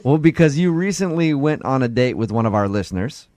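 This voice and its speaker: A man's voice: medium pitch, expressive, brisk, darkish tone, no echo, studio quality. A man hosting a morning radio show, chatting casually and jokingly. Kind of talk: casual radio prank-call chat.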